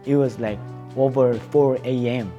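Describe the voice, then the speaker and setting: A young man from North Korea with a Korean accent, speaking English as a second language in an earnest, halting way, often restarting his sentences. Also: medium pitch, steady tone, muffled recording, over music. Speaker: advocacy speaker. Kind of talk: interview testimony.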